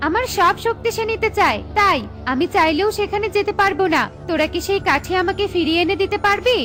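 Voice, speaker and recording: A woman doing a stern high-pitched cartoon witch. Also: fast talker, a bit bright. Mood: commanding. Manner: scolding, commanding. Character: stern but fair old witch, robbed of her wand. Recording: with light background music.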